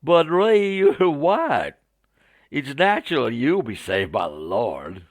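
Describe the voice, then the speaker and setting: A man's voice: mid-range voice, very animated, slow, dry recording, voice pushed up. A man voicing a zealous, naive Southern American missionary in a told story, with a folksy, preachy drawl. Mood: earnest zeal.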